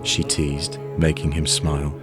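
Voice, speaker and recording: Very deep-voiced male story narrator. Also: monotone, measured pace, music in the background.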